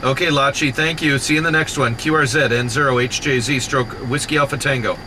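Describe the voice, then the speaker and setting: A man runs a ham radio contest from inside a moving vehicle, speaking into a mobile radio mic at an ordinary mid-range pitch. He fires off call signs in the phonetic alphabet and confirms each contact in a brisk, repetitive rhythm, dropping in frequent "uh"s. Heavy noise from the road and the radio sits under everything. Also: fairly even intonation. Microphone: mobile radio microphone. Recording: loud background noise from a mobile radio station.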